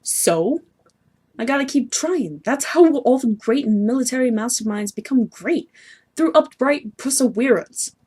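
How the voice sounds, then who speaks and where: A woman's voice: on the high side, lively intonation, unhurried, dark tone, slightly rough. A woman voicing a haughty young male sea troll who schemes and sulks, pompous and petulant, in amateur webcomic acting. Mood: defensive. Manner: pompous, petulant, self-pitying.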